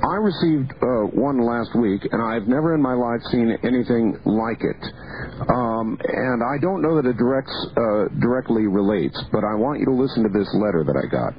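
A man hosting a late-night radio talk show, chatting with a guest and taking calls in a relaxed, conversational way. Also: medium pitch, moderate pace. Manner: relaxed, conversational, lively intonation. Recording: muffled lo-fi radio broadcast, faint hiss.

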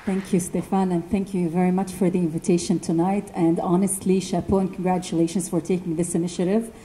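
A woman from Lebanon speaking earnestly and in a measured way as a panelist, sharing her own story in a university classroom. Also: lowish voice, level tone, background noise. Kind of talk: personal testimony talk.

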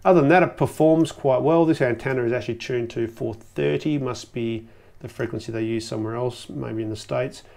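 A man's voice: mid-range voice, fairly quick, creaky, warm tone. An Australian man in his forties giving a casual hands-on gadget review, with hesitant, off-the-cuff phrasing. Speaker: video host and product reviewer. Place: small home office, indoors.

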